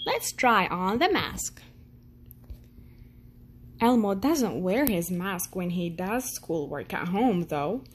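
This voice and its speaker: A woman reading a children's picture book aloud in a gentle storytelling manner. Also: a little high, slight background noise. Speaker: storytime reader.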